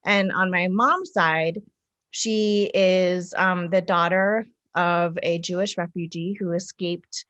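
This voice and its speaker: A woman from the United States answering interview questions conversationally, reflective and halting as she pieces her thoughts together in short phrases. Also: on the low side, a bit bright. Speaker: interview guest, city councilwoman.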